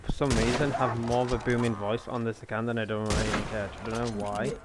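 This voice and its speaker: A man's voice: mid-range voice, little pitch movement, fast talker, heavy background noise. A young man casually streaming a video game, joking loosely with his chat and a friend. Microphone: gaming headset.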